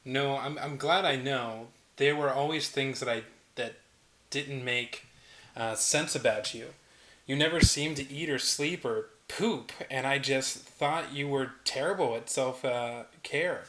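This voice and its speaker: A man reading the part of a worried young woman roommate, speaking in a hesitant, halting way. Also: medium pitch, a bit bright, reverberant, natural voice.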